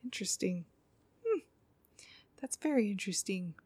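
A woman's voice: medium pitch, sing-song, normal speed, a little mellow, slightly rough, no room sound, clean studio sound. A woman interviewing a guest, asking curious questions and encouraging him as he talks. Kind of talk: casual interview.

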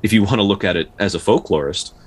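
A man talking casually and explaining folklore as a podcast co-host, often stumbling and repeating words as he goes. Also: lowish voice. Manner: halting, with repeated words and stutters. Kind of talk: casual explanatory discussion of folktales.

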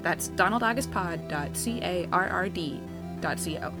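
A woman's voice: fairly low pitch, normal speed. Woman reading podcast credits over prominent music. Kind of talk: scripted podcast outro.

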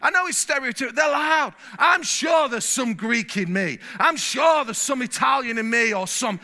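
Brief: male pastor from England, high-pitched and sharp, lively delivery that swells louder. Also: moderate pace. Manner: animated, gets louder when stirred, repeats words. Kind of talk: sermon. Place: church hall.

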